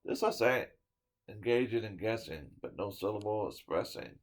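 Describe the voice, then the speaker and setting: A man giving a dramatic reading of a poem, swinging from loud declaiming to hushed whispers and sometimes tripping over a word. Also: on the low side, lively intonation, normal speed. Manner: stumbles and restarts words now and then. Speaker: storyteller reading a poem aloud.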